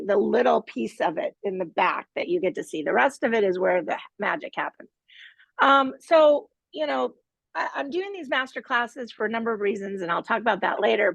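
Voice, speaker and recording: A woman's voice: a little high, vocal fry, dry recording, rough recording. A woman hosting a live online master class from her home studio, with a playful, teasing manner. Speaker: host and team leader.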